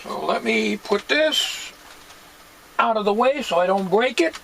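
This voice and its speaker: An older man talking casually as he works at a bench in a home workshop, plain-spoken and musing, with halting delivery. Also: sits high, animated, vocal fry, fairly bright tone. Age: 60s or older. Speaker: hobbyist radio restorer. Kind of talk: casual talking while working. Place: cluttered home electronics workshop, indoors. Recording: noisy home workshop recording.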